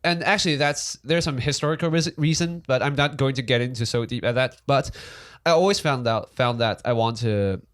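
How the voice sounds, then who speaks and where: A young man from Taiwan with a Taiwanese accent, Mandarin his first language, co-hosting a casual podcast and talking in halting, restarting sentences. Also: medium pitch, a bit bright. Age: young adult. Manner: halting, many restarts and fillers. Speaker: podcast co-host. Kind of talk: casual chat.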